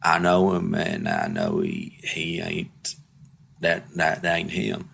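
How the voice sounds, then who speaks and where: A young man, speaking in an interview, halting and repeating himself but blunt and emphatic. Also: deep voice, sing-song, gravelly, a bit bright, a little hiss or hum. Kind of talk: candid interview.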